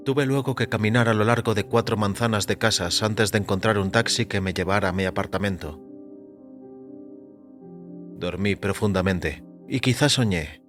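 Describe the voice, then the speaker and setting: A man reading scripted first-person fiction as narrator, in a measured, composed manner. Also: fairly low pitch. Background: music.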